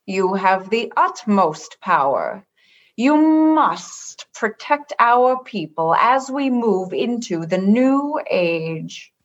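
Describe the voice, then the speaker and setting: Woman playing a slow, measured, stern alien ruler. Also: medium pitch, big pitch swings, dull audio. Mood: cryptic, unbending. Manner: formal, measured, literal, unbending. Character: stern, cryptic alien religious and political leader. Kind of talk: sci-fi comedy radio acting.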